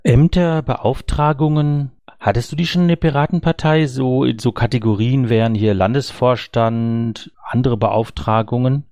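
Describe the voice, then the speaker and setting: A man's voice: mid-range voice, normal speed, darkish tone, dry recording, clean studio sound. A man speaking as a podcast interviewer, giving prepared introductions and questions.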